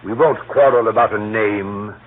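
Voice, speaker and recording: A male actor playing a suave elderly villain in a 1930s radio drama, formal, measured and mockingly polite. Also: fairly low pitch, natural voice. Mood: silky menace. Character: elderly gentleman villain, suave, coolly menacing, unhurried. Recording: muffled, hissy 1930s radio broadcast.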